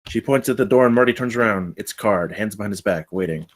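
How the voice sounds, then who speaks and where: A man's voice: fairly low pitch, quick pace. A man reading stage directions as the narrator of a screenplay table read.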